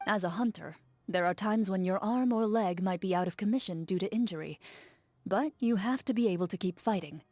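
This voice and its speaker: An actress playing a stoic young woman duelist, speaking in a calm, measured, formal and reserved way. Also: mid-range voice, no echo, faint music behind, close to an everyday voice. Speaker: game character. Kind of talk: game character voice lines.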